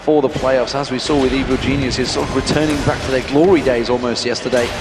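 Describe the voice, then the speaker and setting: Man casting esports, echoey arena. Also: mid-range voice. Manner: brisk, excitable hype. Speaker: esports caster. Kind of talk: esports play-by-play commentary. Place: packed convention hall. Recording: echoey arena with crowd noise.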